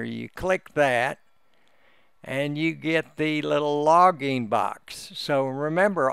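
A man giving an unscripted, step-by-step screen-share tutorial as a hobbyist, in a halting, explanatory manner. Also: mid-range voice, gravelly, smooth, no room sound, studio quality. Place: indoors, close dry voice-over for a screen recording.

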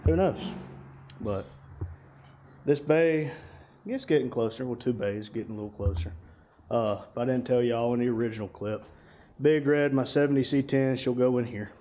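A man's voice: mid-range voice, normal speed, long pauses, dark tone. Man, 30s, casual folksy vlogger; slightly muffled action-cam sound. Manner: easygoing, drawling, folksy. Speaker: channel host. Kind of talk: casual walkthrough vlog. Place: large garage service bay. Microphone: action camera.

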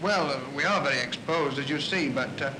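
A man's voice: on the high side, fairly quick. A British man, an engineer in charge, answering politely and a little stiffly in a 1950s interview. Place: transmitter station control room. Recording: noisy 1950s film soundtrack.

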